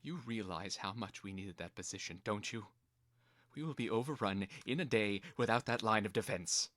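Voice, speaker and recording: A man's voice: on the low side, normal speed, fairly bright tone, no room sound, studio quality, lightly acted. A man playing a stern, furious female toy general in a dramatic reading, biting and commanding. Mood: angry.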